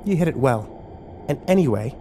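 A man's voice: medium pitch, expressive, a little fast, mellow, a little hiss or hum, voice pushed up, close to an everyday voice. A man voicing a bitter, defiant young woman on the run in a dramatic story, insistent and cutting.

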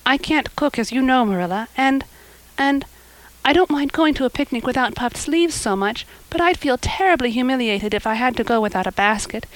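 A woman voicing a breathless, excitable and dreamy young girl in a storybook, chattering and gushing dramatically. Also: high-pitched, normal speed, dry recording, pitched above the performer's own voice, rough recording. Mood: anxious. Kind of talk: audiobook character acting.

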